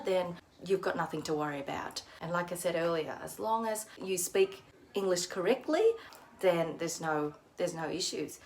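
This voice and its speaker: Woman English-teaching vlogger, Australian accent with Vietnamese trace, lowish voice, faint home noise behind. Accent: Australian with a slight Vietnamese touch. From Vietnamese background, lives in Australia. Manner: encouraging, many fillers like uh and you know. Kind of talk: casual direct-to-camera teaching. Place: at home indoors.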